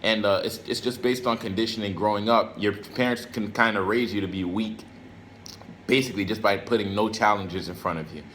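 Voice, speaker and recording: A man's voice: on the low side, steady tone, a little fast, a bit bright, a little hiss or hum. An American man giving a casual motivational monologue to camera in streetwise slang, repeating phrases for emphasis. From Brooklyn and Florida, United States. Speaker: self-help video creator.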